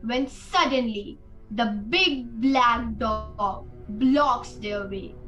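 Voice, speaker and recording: A woman's voice: a little high, extremely slow, a bit bright, soft background music, low-quality recording. A woman narrating a spooky student radio play as a scripted storyteller.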